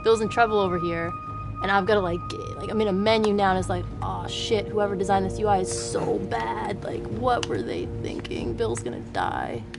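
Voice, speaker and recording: A woman's voice: mid-range voice, animated, normal speed. A woman giving a candid, informal interview as a game designer while demonstrating, self-deprecating and mildly sweary. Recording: clear music bed behind voice. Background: background music.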